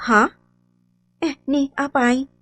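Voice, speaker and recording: A woman's voice: sits high, fairly even intonation, takes it slowly, fairly bright tone, dry recording. An Ilocano-accented woman playing a nosy, fussy housewife in a comedy radio drama, exclaiming and gossiping with exaggeration. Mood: prying, sympathetic.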